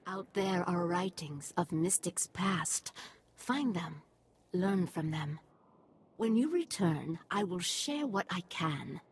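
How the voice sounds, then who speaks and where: An actress playing a cryptic, solemn alien guide in a video game, speaking in terse, aloof phrases with a husky voice. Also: female voice, lowish voice, animated, slow, exaggerated voice. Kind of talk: video game cutscene acting.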